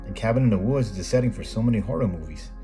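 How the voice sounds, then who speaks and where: A middle-aged American man reading a scripted voice-over in a measured, plain explanatory way, as the host of a photography video. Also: on the low side, expressive, dark tone. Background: background music.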